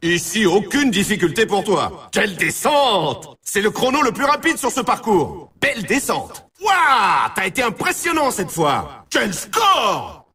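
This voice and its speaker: An actor playing an excitable snowboard race announcer in a video game, emphatic and showy, heard slightly at a distance. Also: male voice, high-pitched, moderate pace, slightly rough, exaggerated voice. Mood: excited. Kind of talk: scripted video game sports commentary.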